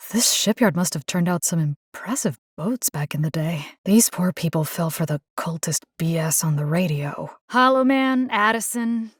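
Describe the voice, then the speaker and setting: A woman's voice: mid-range voice, dry recording, clean studio sound, natural voice. A woman voicing a plucky, caring video game combat engineer, casual and wry. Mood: determined, defiant. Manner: casual, wry, expressive, slipping into Spanish slang. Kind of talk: video game character voice lines.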